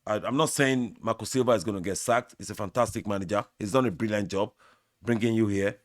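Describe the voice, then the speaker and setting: A Nigerian man, a native Yoruba speaker, hosting his own football channel and giving measured, opinionated answers straight to his fans. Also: fairly low pitch, no echo, studio quality. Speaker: sports channel host. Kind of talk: football opinion talk to camera.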